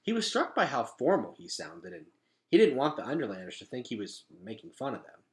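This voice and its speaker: A man reading a children's story aloud as a teacher, with expressive, dramatic emphasis. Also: medium pitch, brisk, gravelly.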